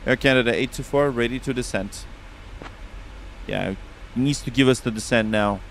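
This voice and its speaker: Russian-accented male streamer, relaxed and chatty, mid-pitched voice. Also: moderate pace, slight background noise, rough recording. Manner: relaxed, chatty, reads back radio calls. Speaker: flight sim streamer. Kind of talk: casual live-stream chat with radio calls.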